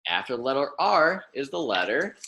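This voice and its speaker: A man's voice: medium pitch, very animated, normal speed, pushed and bright. A young American man reading a picture book aloud as a lesson for children, repeating and emphasising words in an instructive way. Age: young adult.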